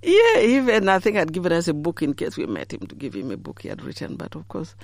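A woman's voice: lowish voice, wildly swooping pitch, fast talker, dry recording. A middle-aged woman from Uganda telling stories in an interview, laughing often and acting out the voices of people she quotes. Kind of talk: storytelling in an interview.

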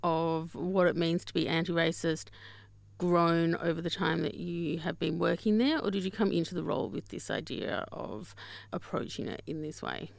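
A woman interviewer asking measured, formal questions. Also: fairly low pitch, vocal fry, dry recording.

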